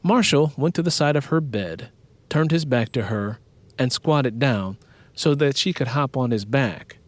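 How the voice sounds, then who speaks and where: A man's voice: mid-range voice, animated, measured pace, no echo. A man reading scripted audiobook fiction as its narrator.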